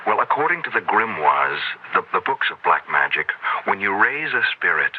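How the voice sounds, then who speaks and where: An actor playing an earnest, determined academic who explains things hesitantly, in a 1970s radio drama. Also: male voice, deep voice, very animated, normal speed, slightly rough, full character voice. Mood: resolute. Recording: dull, lightly noisy 1970s radio recording.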